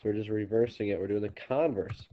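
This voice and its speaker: A man's voice: lowish voice, fairly even intonation, fast talker, dark tone. A man with a stuffy, congested nose, teaching a geometry lesson on video and explaining step by step with frequent ums and restarts. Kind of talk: recorded classroom video lesson. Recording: slightly dull lo-fi recording.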